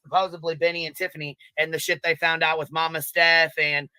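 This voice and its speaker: Fast, bright-voiced man ranting bluntly. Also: on the high side, level tone, smooth, dry recording. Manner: fast, blunt, swears constantly. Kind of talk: casual gossip rant.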